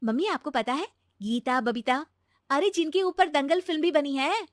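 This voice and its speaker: A woman voicing an earnest, encouraging young daughter-in-law who reasons gently in a cartoon moral story. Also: sits high, normal speed, dry recording, studio quality, voice pushed up, natural voice. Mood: earnest, persuasive.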